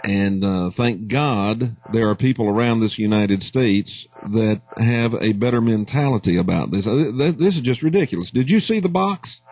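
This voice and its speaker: A man's voice: on the low side, normal speed, few pauses, a little mellow, slightly rough, muffled recording. A man hosting a talk radio call-in show, blunt and opinionated.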